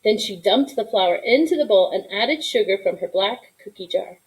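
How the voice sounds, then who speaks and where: A woman reading a children's story aloud in an expressive narration, with asides to young listeners. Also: mid-range voice, normal speed, poor audio. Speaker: storybook reader.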